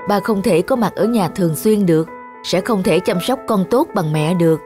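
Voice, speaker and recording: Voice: medium pitch, normal speed, natural voice. An actor playing a patient, coaxing father. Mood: soothing. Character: patient, loving father soothing his young daughter. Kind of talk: cartoon voice acting. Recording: music clearly behind the voice.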